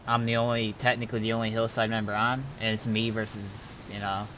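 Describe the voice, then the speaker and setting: A man talking casually on a game stream, thinking things through aloud in a halting, deliberating way. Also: lowish voice, flat delivery, background noise. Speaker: streamer and roleplay gamer.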